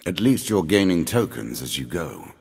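An actor playing an ancient, immortal dealer in a fantasy card game, speaking in a theatrical, measured, ominous and condescending way. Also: male voice, low-pitched, big pitch swings, gravelly, a little mellow, breathy edge, heavily acted. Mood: measured, wry. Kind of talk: scripted fantasy game character lines. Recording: long reverb on the voice.

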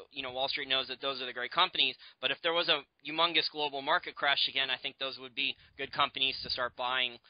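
Man, podcast interviewer, quick pace, dry and dull lo-fi sound. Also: medium pitch, no echo. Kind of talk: conversational interview.

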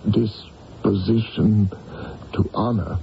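An old-time radio dying king, low creaky voice, grave and formal, muffled. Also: flat delivery, slow. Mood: weak, grave. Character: weary, dying king of an ancient tribe. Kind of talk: radio drama acting. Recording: dull, noisy old radio broadcast.

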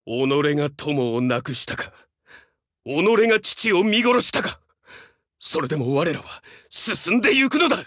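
Fervent, bright-voiced young samurai dog-man. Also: fairly high pitch, big pitch swings, unhurried, dry recording, studio quality, full character voice. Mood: resolute. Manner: formal, earnest, archaic retainer's speech, dramatic delivery. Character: fervent young male dog-man samurai warrior, fiercely loyal and earnest. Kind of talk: Japanese game voice acting, archaic samurai speech.

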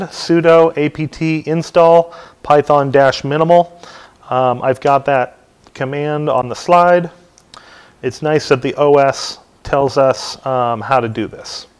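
Middle-aged man giving a relaxed tech tutorial. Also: on the high side, clean tone. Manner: relaxed, many ums and uhs. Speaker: technical trainer. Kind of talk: hands-on tech tutorial talk. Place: dim meeting room, standing at lectern.

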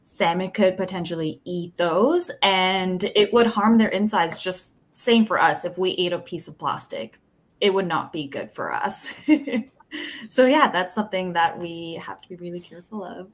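A woman's voice: fairly low pitch, moderate pace. A woman speaking about marine life, friendly and simple, on a video call. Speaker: marine conservation panelist. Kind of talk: casual explanation to students. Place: indoor room.

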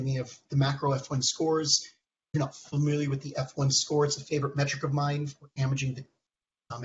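A man in his thirties, hoarse from a cold, presenting research slides over a video call in a halting way, with many ums and restarts. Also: on the high side, slight background noise. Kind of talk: technical conference talk. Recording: dull lo-fi call audio.

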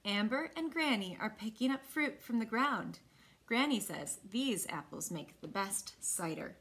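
A woman in her thirties with an American accent, reading a picture book aloud to children with expressive, clearly phrased delivery. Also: on the high side, a little slow. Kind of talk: children's read-aloud storytelling. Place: small indoor room.

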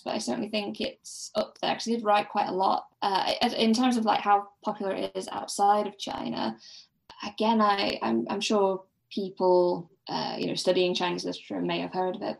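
Creaky-voiced woman as podcast guest. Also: mid-range voice, rough recording. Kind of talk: casual literary discussion.

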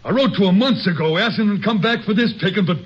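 An actor playing a shaken, roaming bootlegger widower with a halting, emotional delivery in an old radio drama. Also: male voice, sits high, husky. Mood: bitter realization. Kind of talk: old-time radio western detective acting. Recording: dull old radio broadcast recording.

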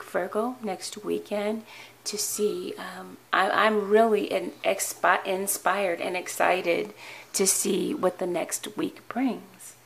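A woman reading tarot cards for her viewers in a calm, earnest way, her voice hoarse and tired, often restarting her words. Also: fairly low pitch, slight background noise. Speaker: tarot reader. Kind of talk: weekly tarot reading to camera. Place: indoor tabletop with cards, voice off camera.

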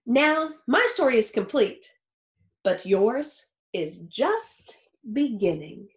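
A woman in her thirties reading a picture book aloud like a teacher, expressively and with deliberate phrasing for young listeners. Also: fairly high pitch, sing-song. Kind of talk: expressive children's read-aloud. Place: small home office or study with wooden shelves. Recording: roomy, echoey indoor sound.

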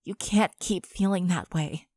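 A woman's voice: lowish voice, measured pace, dark tone, dry recording. A woman playing a firm but caring young female unicorn, frank and soothing with her friend. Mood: firm, concerned.

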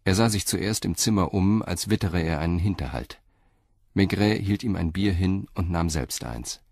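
Male, audio drama narrator, very low pitch, scripted reading, dry sound. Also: normal speed, creaky, darkish tone, no room sound.

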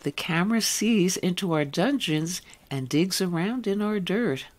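A woman's voice: fairly low pitch, expressive, a little slow. A woman working as a radio host, asking interview questions and reading scripted links.

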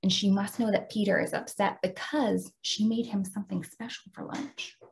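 American woman teacher with creaky voice. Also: medium pitch, steady tone, normal speed. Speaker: teacher demonstrating a read-aloud. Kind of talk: read-aloud and instructional talk for teaching young children.

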